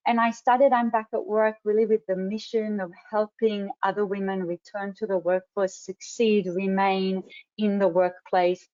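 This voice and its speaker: A woman with an Israeli accent introduces a webinar in an unhurried, measured way, pausing on fillers. Her voice sits in a middle range. The audio comes through a computer mic on a video call and sounds a little dull. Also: medium pitch, darkish tone. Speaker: webinar host and career coach. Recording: slightly dull online audio.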